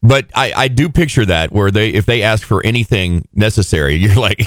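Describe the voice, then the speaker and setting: A man's voice: fairly low pitch, expressive, dry recording. A man hosting a morning radio show, joking and teasing his co-host in casual banter. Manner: playful, teasing, quick jokes.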